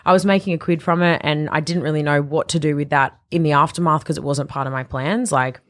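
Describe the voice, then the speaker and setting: A woman's voice: low-pitched, fairly even intonation, quick pace, a bit bright. A young woman, a business founder talking casually in a podcast interview, chatty and full of restarts.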